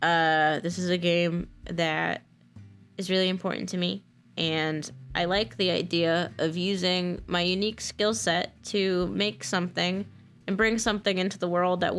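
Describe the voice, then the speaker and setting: An American woman talking casually and candidly, unscripted, in an informal and halting way, like a creator speaking to her audience. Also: fairly low pitch, a bit bright. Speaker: video creator and mod developer. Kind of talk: casual, unscripted talk to camera.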